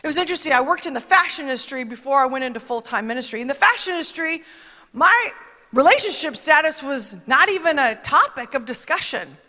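A woman's voice: high voice, animated, brisk, bright, forceful tone. A woman preaching a conversational sermon, emphatic and chatty, with asides that quote other people. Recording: long reverb of a large hall.

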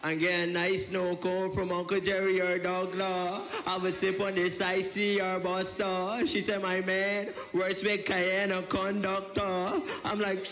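A man performs a spoken-word piece that sounds like a relaxed street story. His voice sits fairly high for a man. He keeps an easy, conversational flow. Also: unhurried, hardly any pauses, soft background music. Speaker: performance poet.

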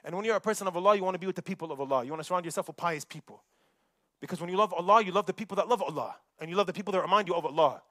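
A young man giving a Friday sermon in a mosque, preaching in an animated way and mixing recited verses with casual asides. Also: high-pitched, racing, no echo, studio quality. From United States.

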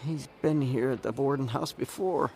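Actor as nervous, stammering boarding house clerk. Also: male voice, mid-range voice, moderate pace, vocal fry, darkish tone, a little hiss or hum, lightly acted. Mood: uneasy, regretful. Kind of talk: radio drama acting.

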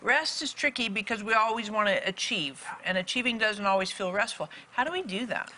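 Bright woman hosting a talk show. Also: medium pitch, lively intonation, a little fast. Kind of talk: presenting and interviewing.

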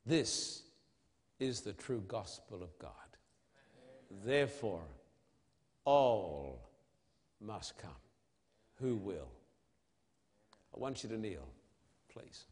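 A man preaching an evangelistic sermon in a solemn, emphatic manner, with dramatic pauses and rhetorical questions. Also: fairly low pitch, animated, darkish tone, breathy edge. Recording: long hall reverb.

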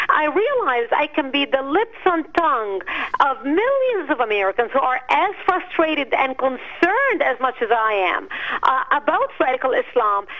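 A woman's voice: high-pitched, lo-fi sound. A woman in her fifties from Lebanon, an Arabic speaker, answering interview questions in an earnest, emphatic way. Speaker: guest.

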